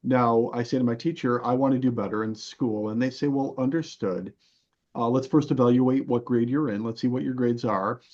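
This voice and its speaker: A man's voice: medium pitch, fairly even intonation, normal speed, a little mellow. A man giving explanatory interview talk as a guest coach, in a didactic, emphatic manner with rhetorical questions. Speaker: podcast guest, health and fitness coach. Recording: dull, band-limited audio.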